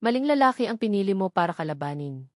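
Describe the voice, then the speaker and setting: A woman voicing a weary husband with a quiet, controlled, cold manner in an audio drama. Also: medium pitch, sing-song, quick pace, dry recording, studio quality, lightly acted. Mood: cold, warning. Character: calm, weary, steely husband.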